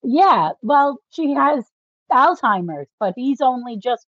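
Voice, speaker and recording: A woman's voice: high voice, very animated, slow, fairly bright tone, no room sound. A woman co-hosting a casual book discussion, explaining things and often checking that she is followed, on slightly dull audio. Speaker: podcast co-host.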